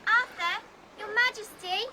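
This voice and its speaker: A woman's voice: very high-pitched, unhurried, very noisy. A woman playing a wilful, cheeky twelve-year-old princess in a radio drama. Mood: calling out. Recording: faint outdoor ambience behind voice.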